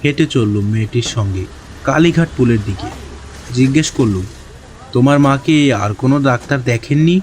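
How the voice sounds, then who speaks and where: A man's voice: medium pitch, expressive, a little fast, a little mellow, slight background noise, close to an everyday voice, rough recording. A man playing a kindly young doctor who tells his own story in a reflective, literary way. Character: young, newly qualified male doctor recounting his tale, kindly. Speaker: narrator. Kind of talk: first-person scripted story narration with dialogue. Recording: clear music bed behind narration.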